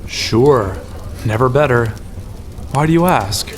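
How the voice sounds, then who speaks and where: An actor playing a wry, kindly young man in a fantasy audio drama, narrating and speaking with gentle, self-deprecating earnestness. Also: medium pitch, animated, slow. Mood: wry, hesitant. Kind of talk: acted first-person narration and dialogue.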